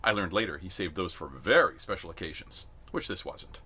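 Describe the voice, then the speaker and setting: A man gives an expressive literary narration as an amateur reader. His voice is on the low side, with a sharp, forceful edge and lively, wide-moving pitch. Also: fairly quick, husky.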